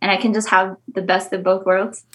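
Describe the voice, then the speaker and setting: A woman's voice: medium pitch, flat delivery, fairly quick, a bit bright. A young woman from the Philippines, a guest talking candidly in a casual interview, with frequent laughs and a Filipino mother tongue. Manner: candid, chatty, laughs often.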